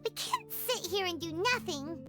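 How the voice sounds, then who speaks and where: A woman voicing a tiny, cheerful, childlike fairy companion in a video game, squeaky, excitable and curious. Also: moderate pace, exaggerated voice. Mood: puzzled, determined. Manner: exclamatory, refers to self in third person. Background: music.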